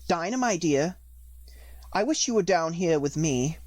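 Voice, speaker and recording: A man's voice: fairly high pitch, expressive, a little slow, no room sound. A man voicing a chatty, curious little girl who muses to herself earnestly in an audiobook. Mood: wistful, chatty. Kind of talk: audiobook character reading.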